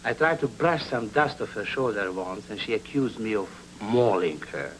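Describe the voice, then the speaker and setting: A man's voice: on the low side, expressive, normal speed, fairly bright tone. An actor playing a courteous, droll man in an old film noir drama. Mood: wry, courteous. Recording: humming, noisy old film soundtrack.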